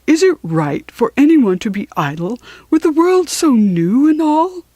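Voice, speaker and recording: Woman as tattling farm animals, lively swooping pitch. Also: measured pace, no echo, exaggerated voice. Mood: indignant complaint. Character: earnest complaining horse, dog and ox, farm animals. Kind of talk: children's storybook character reading.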